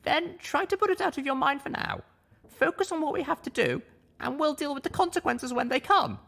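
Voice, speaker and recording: A man voicing a small, earnest young female unicorn pony in an audiobook reading, speaking slowly and carefully. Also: extremely high pitch, big pitch swings, vocal fry, fairly bright tone, voice pushed up, full character voice. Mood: urging. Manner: slow, careful delivery. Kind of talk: audiobook character voice.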